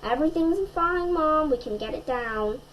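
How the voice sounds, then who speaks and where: Woman voicing an eager, high-pitched little boy. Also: animated, moderate pace, a bit bright, poor audio. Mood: reassuring. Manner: earnest, coaxing. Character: eager, pleading little boy who loves dinosaurs.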